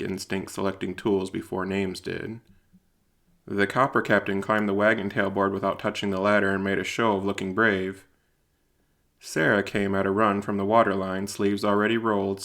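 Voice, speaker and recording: A man narrating a scripted audio drama in a measured, deliberate, literary way. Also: on the low side, vocal fry, fairly bright tone. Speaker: narrator and writer-performer.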